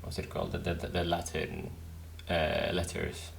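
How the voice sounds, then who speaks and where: A man's voice: low voice, monotone, a little slow, gravelly, a little hiss or hum. A man giving casual gameplay commentary, musing aloud and repeating words as he works things out. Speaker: gaming video host. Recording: music behind the voice. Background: game music.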